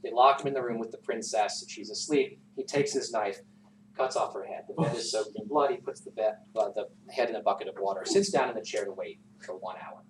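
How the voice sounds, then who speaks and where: A man telling a folktale informally to a class in an animated, conversational way with asides. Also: lowish voice, brisk. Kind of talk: informal oral storytelling. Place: classroom, recorded from some distance. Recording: roomy, distant classroom recording with background noise.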